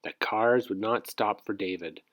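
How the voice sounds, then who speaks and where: A man narrating a children's storybook aloud in an expressive read-aloud style. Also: lowish voice, a little slow. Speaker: storybook reader.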